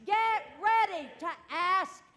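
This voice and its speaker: An older woman minister preaching a prophetic word in a church meeting room, with arms raised and rising to shouts. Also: extremely high pitch, slow.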